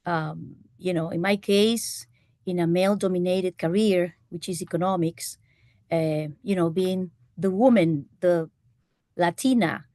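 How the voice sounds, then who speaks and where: A woman's voice: lowish voice, measured pace, dark tone, dry recording, studio quality. A woman with a Costa Rican Spanish accent, a native Spanish speaker, speaking earnestly as a panelist with many "eh" fillers. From Costa Rica. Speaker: panelist, economics faculty. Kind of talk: panel discussion. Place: university event room.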